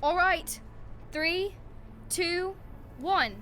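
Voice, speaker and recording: High-pitched, earnest woman playing a young adventurer. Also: very slow, background noise, heavily acted. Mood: excited, eager. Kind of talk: amateur audio drama acting.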